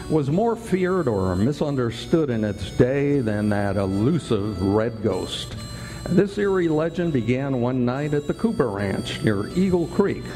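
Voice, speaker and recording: Man narrating in a reverberant hall. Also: mid-range voice. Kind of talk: scripted dramatic storytelling narration. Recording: reverberant hall, music behind.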